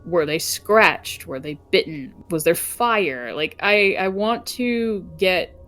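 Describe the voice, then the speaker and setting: A woman's voice: lowish voice, lively intonation, takes it slowly, fairly bright tone. A woman playing a tabletop game, chatting casually in a wordy, inquisitive way and often rephrasing herself. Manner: inquisitive, wordy, self-checking. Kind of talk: casual chat. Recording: clear background noise, room ambience.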